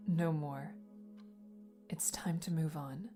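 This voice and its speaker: Woman playing a lowish-voiced, teasing adventurer's partner over music. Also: steady tone, takes it slowly, dark tone. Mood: tender. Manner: playful, tender. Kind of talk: audiobook character dialogue. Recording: music under the voice.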